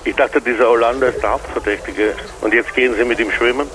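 A man's voice: mid-range voice, fairly even intonation, fast, a bit bright, slight background noise, low-quality recording. An actor playing an irritated, wary male police superior heard through a telephone in a radio crime drama, curt and disapproving. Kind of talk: radio play dialogue.

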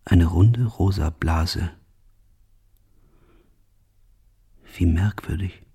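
An actor playing a haunted, guilt-ridden killer in a radio drama monologue, brooding and measured, dropping into breathy near-whispers. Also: male voice, low voice, mellow, exaggerated voice. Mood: numb, eerie.

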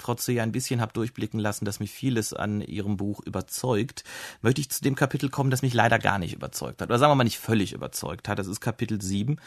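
A man's voice: lowish voice, creaky, dry recording, clean studio sound. A man working as a radio interviewer, building long, conversational questions with frank criticism.

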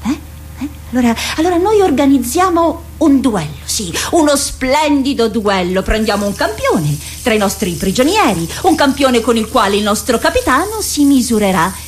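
A woman's voice: a little high, sing-song, moderate pace, a little hiss or hum. A woman playing a hard, pragmatic, sarcastic comrade-in-arms in a radio drama, challenging and impatient with mocking questions. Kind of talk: theatrical radio drama acting.

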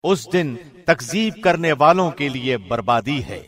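A man reads translated scripture from a script. His delivery is formal, measured and solemn, in the manner of a radio presenter. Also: a little high, animated, fairly bright tone. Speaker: radio host reading Quran translation.

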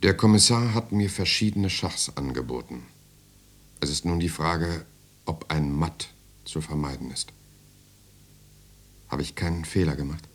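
An actor playing a weary, defiant political prisoner in a German radio drama, speaking in a grave, measured, inward way. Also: male voice, low voice. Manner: grave, measured, inward, sometimes dropping to a murmur. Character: weary, defiant male political prisoner recalling his interrogation. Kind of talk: 1970s German radio drama, inner monologue and dialogue.

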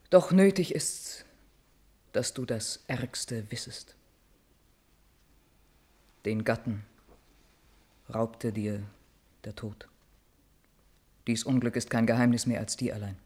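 A woman's voice: extremely low pitch, darkish tone, breathy edge, heavily acted. An actress playing a solemn attendant to a queen in a classical verse radio tragedy, speaking in formal, grave declamation. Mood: solemn, sorrowful. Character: solemn female attendant bearing bad news to queen.

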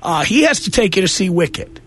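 A man's voice: high voice, big pitch swings, brisk, fairly bright tone, slightly rough. A man hosting a call-in radio talk show, blunt and lecturing, arguing his points. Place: radio studio.

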